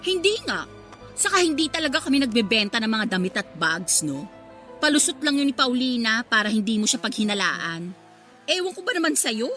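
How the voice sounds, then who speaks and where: A vain, goading mistress in a radio drama, speaking with a Filipino accent. Her voice is high and piercingly bright, full of spite and mockery. Faint music sits behind it. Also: big pitch swings, brisk. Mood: defiant. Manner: mocking, defiant, goading. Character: brazen, taunting other woman, vain and spiteful.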